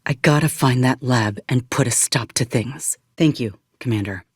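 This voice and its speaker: An actor, a woman, playing an earnest, steadfast ex-soldier in a space frontier video game, speaking in a plainspoken, measured drawl. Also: very deep voice, a little mellow, slightly rough, no echo, clean studio sound. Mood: earnest, hopeful. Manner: folksy, plainspoken, measured delivery. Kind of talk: video game character dialogue.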